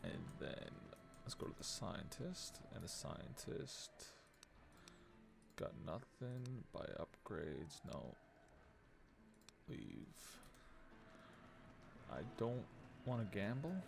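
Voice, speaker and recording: A man's voice: on the low side, big pitch swings, very slow, vocal fry, long pauses, background noise. A man streaming a video game, giving casual live commentary as he thinks aloud and mutters to himself.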